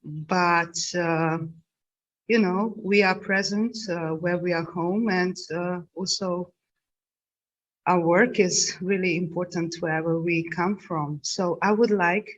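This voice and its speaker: Slow, hesitant woman moderating a video call. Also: lowish voice, fairly even intonation. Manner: slow, hesitant, many fillers. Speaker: panel moderator. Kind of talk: moderating an online panel. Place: home, dark room. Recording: dull video call audio.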